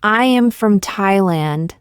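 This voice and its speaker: A woman reading short practice sentences aloud for learners, saying each one twice, slowly and carefully. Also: mid-range voice, lively intonation, clear, resonant tone, no room sound. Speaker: English teacher.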